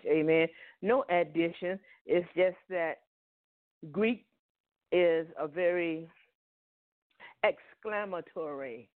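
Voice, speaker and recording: Southern male preacher, slightly high voice, tinny phone-line audio, dry sound. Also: no room sound. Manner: peppers speech with "Amen" and "Hallelujah". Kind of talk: Bible teaching sermon.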